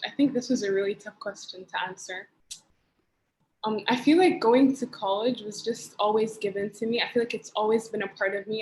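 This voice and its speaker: Young female panelist, thoughtful and soft-spoken, faint hum behind. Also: mid-range voice, low-quality recording. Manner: reflective, soft-spoken with fillers. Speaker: student panelist. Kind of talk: casual panel discussion.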